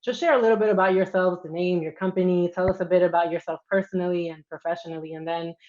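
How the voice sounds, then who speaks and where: Woman moderating a panel, low voice, muffled call audio. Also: fairly even intonation. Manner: warm, measured, with frequent ums and restarts.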